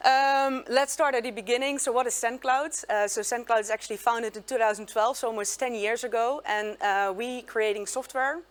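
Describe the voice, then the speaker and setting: A woman, an English speaker, hosting a design meetup and introducing speakers in a friendly, hesitant way with many pauses. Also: a little high, steady tone. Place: meetup venue, hybrid event.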